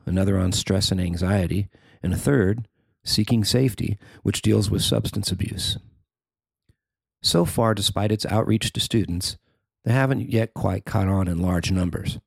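A man's voice: deep voice, creaky, a little mellow, breathy edge, dry recording. A middle-aged man reading a scripted personal feature as its narrator. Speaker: writer and narrator.

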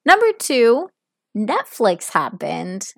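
A woman's voice: a little high, wildly swooping pitch, slow, no room sound, clean studio sound. An Italian woman with an American-sounding English accent, hosting her own podcast in a casual, upbeat solo talk to listeners. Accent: American-sounding English learned as a second language. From Italy (Milan). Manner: chatty and upbeat, addresses listeners directly. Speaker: podcast host, English teacher.

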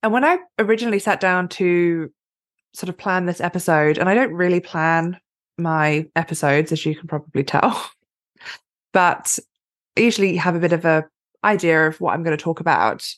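Slightly husky upbeat woman podcaster. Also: fairly low pitch, normal speed, no echo, studio quality. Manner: chatty, upbeat and encouraging. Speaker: podcast host and life coach. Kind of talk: solo podcast pep talk.

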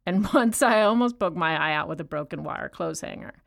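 A woman's voice: lowish voice, expressive, fairly quick, gravelly. An actress playing a chatty, rambling woman inmate in an audio drama, telling stories in a wry tone. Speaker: actor in an audio play.

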